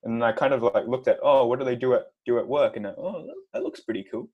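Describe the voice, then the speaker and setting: A young man in his twenties, a podcast guest who speaks in a nervous, halting way with frequent stumbles. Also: mid-range voice, muffled recording. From Australia. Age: 20s. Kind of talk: casual interview.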